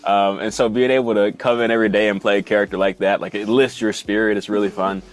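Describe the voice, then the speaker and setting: A man's voice: lowish voice, level tone, quick pace, soft background music. A man talking casually about his work as an actor in a promotional interview.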